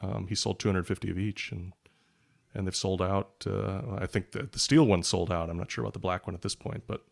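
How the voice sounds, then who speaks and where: A man with a low voice and plenty of vocal fry talks as a guest on a podcast. He rambles casually, pausing and circling as he thinks aloud. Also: fairly even intonation. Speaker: podcast guest, writer and product journalist. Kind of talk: casual conversational interview chat.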